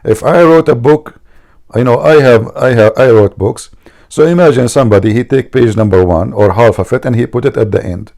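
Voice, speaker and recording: Man, gravelly dark voice, mocking debate host, emphatic and repetitive. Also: mid-range voice, fairly quick. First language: Arabic. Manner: mocking, emphatic, repeats himself. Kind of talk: argumentative religious debate.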